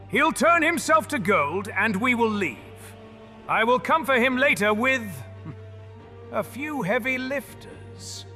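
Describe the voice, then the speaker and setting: A man's voice: sits high, exaggerated voice. An actor playing a smug half-demon businessman, theatrical and sneering, savoring each word. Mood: gleeful. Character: smug demon-blooded male mogul, mocking and playful. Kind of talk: dark fantasy audio drama. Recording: reverberant with soft music behind.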